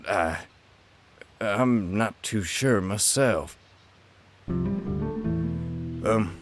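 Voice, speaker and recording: A big, quiet farm horse, played by a man, speaks very slowly and haltingly. His voice is on the low side and spooked, sparing with words. Guitar music sits clearly behind him. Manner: slow, halting, laconic. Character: big taciturn farm stallion, spooked and hesitant. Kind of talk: horror comic dub dialogue.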